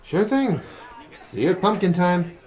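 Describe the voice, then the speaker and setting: An actor playing a spoiled, evasive young rich college kid in a noir audio drama, whiny and flippant. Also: male voice, high voice, expressive, measured pace, a little mellow. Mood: evasive, sulky. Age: young adult. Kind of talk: noir radio drama acting.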